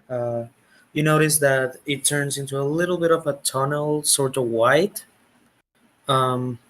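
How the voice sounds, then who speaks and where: Male game master, halting delivery, bright forceful tone. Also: mid-range voice. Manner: hesitant, many fillers. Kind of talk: narrating a tabletop game online.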